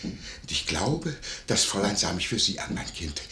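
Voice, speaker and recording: An actor playing a nosy, greedy innkeeper in a classic stage comedy radio play, with an obsequious, gossipy, theatrical manner. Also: male voice, deep voice, very animated, normal speed, bright, forceful tone, reverberant, exaggerated voice. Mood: excited, gossipy.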